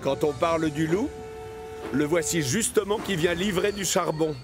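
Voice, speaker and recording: A man narrating a scripted children's cartoon in an expressive storytelling way. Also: a little high, moderate pace, music in the background.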